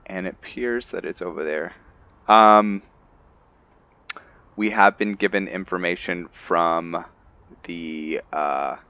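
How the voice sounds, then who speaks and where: A man's voice: sits low, slow, pauses often, bright, forceful tone, smooth, no room sound, highs cut off. A man giving casual gameplay commentary, thinking aloud hesitantly and chatting to his viewers. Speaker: host of a gameplay roleplay series. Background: background music.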